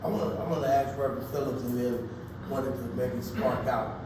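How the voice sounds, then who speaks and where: A man hosting a panel discussion, asking questions in a measured, earnest way. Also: mid-range voice, fairly even intonation. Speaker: host. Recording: echoey room, distant mic, background noise.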